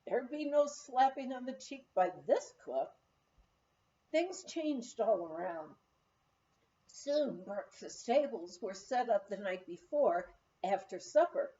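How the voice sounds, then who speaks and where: A slightly muffled recording of a woman narrating a memoir. Her voice is mellow and on the high side, and she tells the story at a slow, unhurried pace, as an audiobook reader would. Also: expressive. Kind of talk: scripted narration of a humorous memoir.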